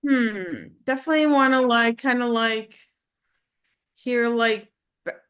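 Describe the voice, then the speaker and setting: A woman's voice: fairly high pitch, slow, a bit bright, smooth. A woman student chatting casually and hesitantly, thinking aloud as she goes. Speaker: voice acting student. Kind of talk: casual chat.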